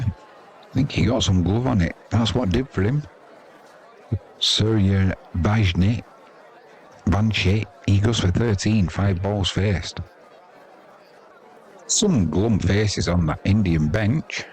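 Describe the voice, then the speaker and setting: Deep-voiced English cricket commentator, male. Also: takes it slowly, long pauses, darkish tone, a faint crowd. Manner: chatty, dry-humoured, blunt, slangy. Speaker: live sports commentator and host. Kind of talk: casual ball-by-ball cricket commentary.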